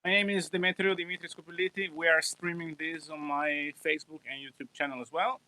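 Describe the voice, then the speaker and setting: A man's voice: fairly high pitch, level tone, fairly quick, sharp tone, no echo, poor audio. A man from Italy, a guitarist, chatting loosely on a livestream and joking at his own expense. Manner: rambling, self-mocking, chuckling. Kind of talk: casual livestream chat.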